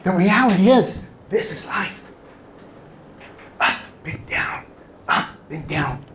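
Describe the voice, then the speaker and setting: A middle-aged man, a native Spanish speaker from Southern California, giving a personal motivational talk to an audience in animated storytelling style, acting out quoted lines. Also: high-pitched, slow pace, a little hiss or hum. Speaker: public speaker.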